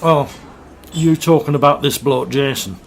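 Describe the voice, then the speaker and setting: A man's voice: a little high, measured pace, creaky, slight background noise. A man acting a stressed, irritable local radio presenter in an audio drama, defensive and hesitant. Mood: casual, uneasy.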